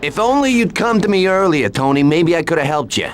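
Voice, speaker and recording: An actor playing a coldly regretful mob boss in a video game, talking with mock sympathy. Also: male voice, on the high side, lively intonation, brisk, over music, close to an everyday voice.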